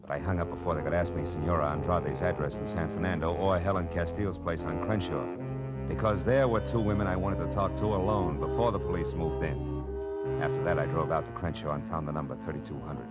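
A tough, wisecracking American detective played by a male actor from a vintage radio noir serial. His voice sits low and he talks in a wry, clipped, hardboiled way. Prominent music plays behind him. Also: steady tone, brisk, lightly acted. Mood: hardboiled narrating. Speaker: lead actor narrating a detective drama. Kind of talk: 1940s radio noir acting, hardboiled narration.

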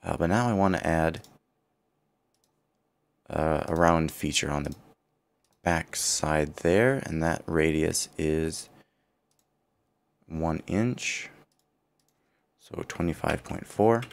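Deep, gravelly male tutor with a worn-out voice, calm self-correcting software walkthrough. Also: slow pace, pauses often. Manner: thinking aloud, self-correcting. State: partly lost voice, low and rough. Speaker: CAD tutorial instructor. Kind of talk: screen-recorded software walkthrough.

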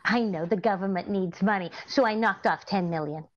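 A woman's voice: medium pitch, brisk, close to an everyday voice. A middle-aged woman playing a cheerful, naively confident scatterbrained wife in a 1940s-style radio comedy. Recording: slightly dull online-call audio.

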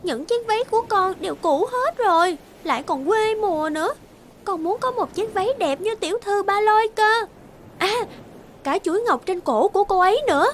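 High, whiny spoiled teenage girl. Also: expressive, moderate pace, slight background noise. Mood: whiny, demanding. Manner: whiny, demanding. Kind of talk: dubbed cartoon fairy tale acting.

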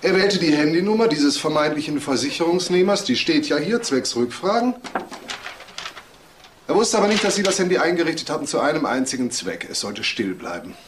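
An actor playing a shrewd, composed male detective with a dry, deliberate manner in a crime audio drama. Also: on the high side, animated, noisy background, natural voice. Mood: confronting, composed. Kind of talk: naturalistic German radio drama acting.

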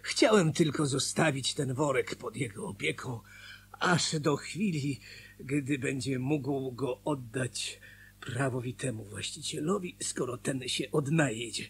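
An actor playing an embittered, vengeful foreign traveller with sly politeness in a radio drama. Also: male voice, mid-range voice, takes it slowly, slightly rough, full character voice. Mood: fussily polite.

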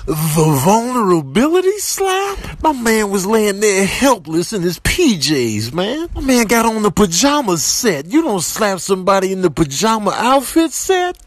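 A man's voice: sits high, fairly bright tone, no room sound. A man giving exaggerated comic commentary as a voiceover performer, incredulous, slangy and emphatic.

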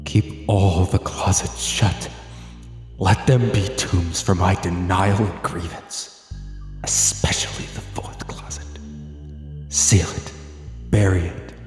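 A man playing a grieving, tormented father, reading out a letter in a solemn, deliberate and formal way. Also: low voice, steady tone. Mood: anguished, grave. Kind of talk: dramatic letter narration. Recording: echoey long reverb over music.

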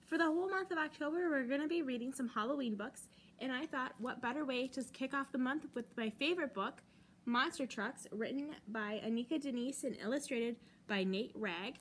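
A young American woman reading a picture book aloud to children as a storytime host, with deliberate, dramatic emphasis on key words. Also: high voice, a little hiss or hum. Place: library children's room, indoors.

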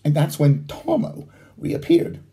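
A man in his sixties tells a children's bedtime story with very lively intonation. His voice is mellow and fairly high for a man, and he takes his time over the words. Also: unhurried. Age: 60s. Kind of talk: animated bedtime story reading.

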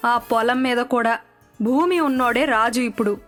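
Woman playing a greedy nagging wife, storybook, high voice, insistent, prodding, background music. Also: moderate pace, fairly bright tone, natural voice. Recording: music under the voice.

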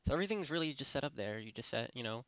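A young man at his home PC walks through a software setup in a loose, rambling way, full of fillers and self-corrections. His voice sits at an ordinary middle pitch and comes across close and dry, with no room sound. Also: expressive, very fast, dry recording. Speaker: tutorial host. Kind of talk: casual screen-recorded software tutorial. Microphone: desktop microphone at a PC.

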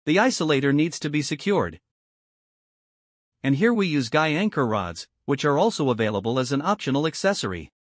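A man narrates a product demonstration in a scripted, step-by-step instructional style. His voice is slightly high, recorded close and dry as a voice-over. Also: big pitch swings, a little fast, no echo.

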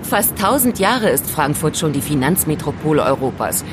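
A woman's voice: low voice, sing-song, normal speed, noisy background. A woman playing an elegant, composed young informant in an audio drama, explaining things in a teasing, didactic way. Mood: explaining, confident. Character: elegant, self-assured young woman, calm knowing informant. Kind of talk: German audio drama acting.

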